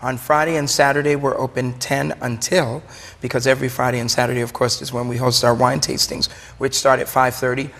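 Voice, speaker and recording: A man's voice: medium pitch, little pitch movement, a little fast, vocal fry, a bit bright. A man with a Brooklyn accent explaining things in a chatty, enthusiastic, conversational way as an interview guest. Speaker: wine shop owner, interview guest. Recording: long hollow room reverb.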